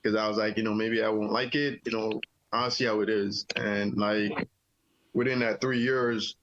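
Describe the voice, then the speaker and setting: Relaxed Jamaican-born man, low voice. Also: normal speed, highs cut off. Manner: relaxed, rambling with many "you know" fillers. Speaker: interview guest, army veteran. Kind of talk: casual storytelling interview.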